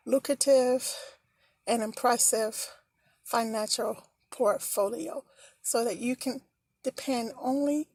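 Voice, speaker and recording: A woman vlogging motivational tips in an encouraging, slow and measured way, filmed on a phone while out on errands. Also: on the high side, darkish tone, no echo. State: slightly hoarse. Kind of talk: encouraging casual vlog talk.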